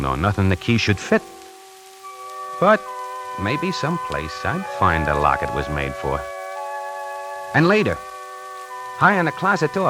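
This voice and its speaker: A man's voice: deep voice, low-quality recording. An actor playing a wisecracking necktie salesman who narrates his own story in a 1940s radio drama, hardboiled and slangy. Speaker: narrator and lead character. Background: music.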